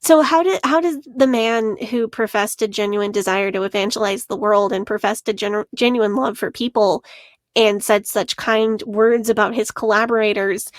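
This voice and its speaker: A woman's voice: on the high side, no echo, studio quality. Earnest woman podcast co-host. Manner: earnest, analytical, laughs between points. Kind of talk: casual podcast discussion.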